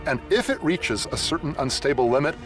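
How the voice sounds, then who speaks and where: A man giving measured, step-by-step explanations as an expert being interviewed. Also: fairly high pitch, sing-song, music in the background.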